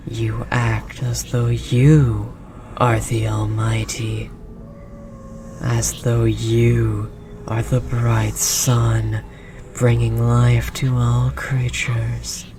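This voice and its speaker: Casting: ominous forest deity played by an actor for audio roleplay, extremely slow, breathy whispering, gently menacing and possessive, over noisy ambience. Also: medium pitch. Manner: slow, solemn, archaic formal phrasing. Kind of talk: scripted roleplay audio drama monologue.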